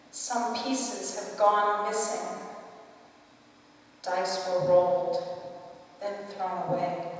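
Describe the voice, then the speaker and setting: A woman poet reciting her own verse in measured short phrases with pauses, recorded from a distance in an echoey hall. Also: medium pitch. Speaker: poet reading own work. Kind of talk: poetry recitation. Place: large reverberant hall at a live reading.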